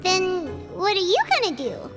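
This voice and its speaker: A woman voicing a small childlike female fairy companion in a video game, cheeky, eager and chirpy, with expressive, blunt delivery. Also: extremely high pitch, normal speed. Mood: indignant. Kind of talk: animated video game dialogue. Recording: music behind the voice.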